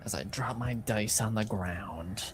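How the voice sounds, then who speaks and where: A man's voice: fairly low pitch, a little slow, a little hiss or hum. A man running a tabletop roleplaying game as game master, mixing casual table talk with improvised narration.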